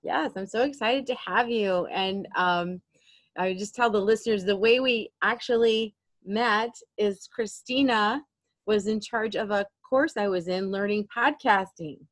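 A woman's voice: medium pitch, measured pace, dry recording. A woman hosting a podcast interview, introducing her guest and asking questions in a friendly, eager way. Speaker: podcast host. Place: indoors, home room.

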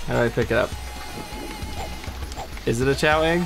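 A man chats casually as a let's play co-host. His pitch sits in the middle range for a man, and game music plays clearly behind him. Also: normal speed. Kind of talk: casual gameplay chat.

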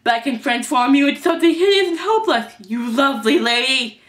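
A woman's voice: on the high side, very animated, a little slow, pushed and bright, pitched above the performer's own voice, full character voice. A woman voicing a dramatic, sarcastic young boy magician in a story. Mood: sarcastic menace.

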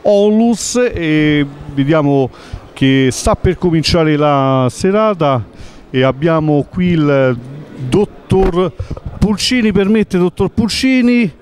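Italian man, 40s, event reporter; slightly high, brisk announcing voice on a handheld mic. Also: animated, darkish tone. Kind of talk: event interview. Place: large indoor lobby of a concert venue.

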